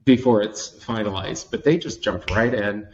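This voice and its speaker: Man, 30s, from Washington State, interview guest. Lowish voice, theatrical and self-mocking delivery, dull lo-fi recording. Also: normal speed, slight background noise. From Washington State, USA. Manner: animated, theatrical, self-mocking with frequent restarts. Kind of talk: candid storytelling in conversation.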